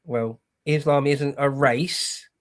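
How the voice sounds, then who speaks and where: A man's voice: mid-range voice, fairly even intonation, slow pace. A British man co-hosting a political show, giving casual, opinionated commentary in a blunt, scornful way.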